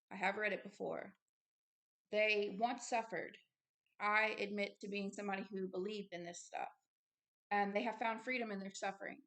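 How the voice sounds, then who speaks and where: A woman hosting a religious video, reading from a book and commenting informally in a halting, earnest way with insistent asides. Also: mid-range voice, fairly bright tone.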